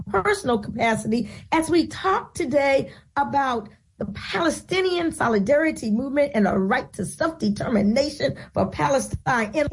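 A woman's voice: high voice, rough recording. A woman hosting a radio talk show, introducing her program and guest with emphatic, declamatory delivery.

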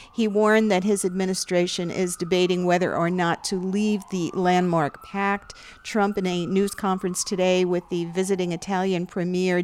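A woman reads the news in a steady, measured way. Her voice sits slightly low and sounds smooth and clear. The studio sound is dry and close. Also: no room sound. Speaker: news anchor. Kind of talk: scripted news reading. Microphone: studio mic.

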